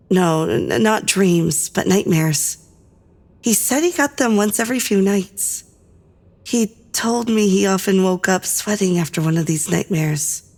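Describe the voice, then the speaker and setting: A woman's voice: mid-range voice, a little mellow. A woman playing an anxious, defiant crew member in an audio drama, speaking earnestly and with emotion. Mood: uneasy.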